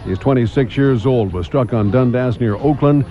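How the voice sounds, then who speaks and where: A man's voice: medium pitch, moderate pace, muffled recording, soft background music. A man with a Canadian accent anchoring a morning radio newscast in a conversational, punchy style with clipped phrases.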